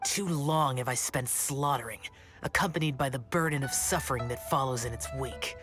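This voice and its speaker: A man's voice: medium pitch, moderate pace, over music, natural voice. A man playing an aloof, brooding young immortal guardian warrior in a video game, speaking in a solemn, formal and disdainful manner. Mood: bitter.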